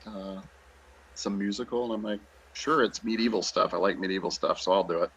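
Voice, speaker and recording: A man's voice: fairly low pitch, fairly even intonation, brisk, a bit bright, slightly rough. A man, an actor and director, chatting casually as a guest in a joking, self-deprecating way. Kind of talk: casual chat. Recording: dull lo-fi audio.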